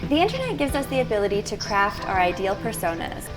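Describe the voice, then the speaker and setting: A woman delivering scripted documentary narration in a measured way, posing reflective questions. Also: mid-range voice, very animated, over music, poor audio.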